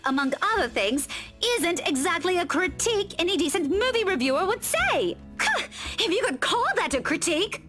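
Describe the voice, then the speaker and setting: A woman's voice: very high voice, sharp tone, heavily acted. An actress playing a fiery, blunt young Scottish woman in a visual novel game. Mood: scornful. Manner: blunt, indignant. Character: fiery, protective young woman defending her friend.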